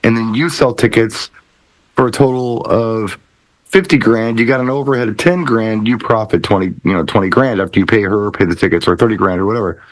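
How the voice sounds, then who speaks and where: A man's voice: fairly low pitch, brisk, no room sound, rough recording. A man co-hosting a casual talk show, explaining things conversationally. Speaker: podcast co-host.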